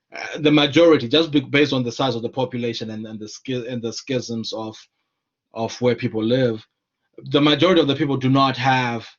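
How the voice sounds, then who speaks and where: Earnest scholar, slightly muffled. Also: male voice, mid-range voice. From Zimbabwe. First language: Shona. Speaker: scholar and lecturer. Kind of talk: conversational discussion.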